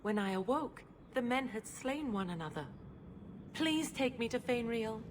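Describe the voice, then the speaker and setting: A naive young maiden in a fantasy game, earnest and dreamily in love. The voice sits fairly high, with faint noise behind it. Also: female voice, animated, measured pace. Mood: dreamy, adoring.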